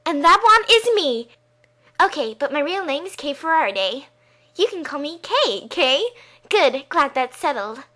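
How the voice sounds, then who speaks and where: A spirited young lady thief from a video game, acted by a woman. She is perky, teasing and theatrical, with a high, bright voice. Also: very animated, unhurried, heavily acted. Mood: dramatic, boastful. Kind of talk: video game fandub audition.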